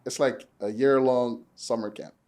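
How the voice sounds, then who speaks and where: A young man from Nigeria chats as a podcast guest at an ordinary, middling pitch. He rambles easily and leans on loose fillers as he talks things through. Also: unhurried. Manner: relaxed, rambling, many "like" and "you know" fillers. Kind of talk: casual conversation.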